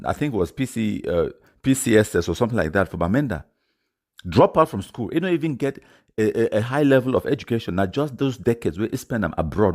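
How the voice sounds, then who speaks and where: A man's voice: fairly low pitch, darkish tone. A man with a Cameroonian accent giving informal, emphatic storytelling commentary, repeating phrases for stress. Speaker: online commentator. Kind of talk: informal storytelling commentary in Pidgin mixed with English.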